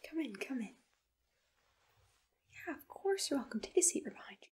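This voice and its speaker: A woman whispering softly and attentively in a soothing, reassuring ASMR roleplay. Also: high-pitched, very animated, moderate pace. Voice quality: breathy whisper.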